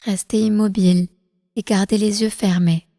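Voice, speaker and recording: Woman, meditation instructor, dark relaxed tone, calm, soothing, deliberate phrasing, long reverberant tail. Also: mid-range voice, studio quality. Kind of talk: guided prenatal meditation, yoga nidra.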